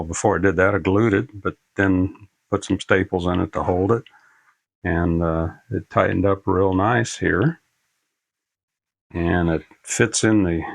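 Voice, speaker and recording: Older male how-to presenter, creaky bass voice, plain-spoken and casual, halting delivery. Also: dry recording, poor audio. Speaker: presenter of a radio repair tutorial. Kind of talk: casual unscripted how-to demonstration.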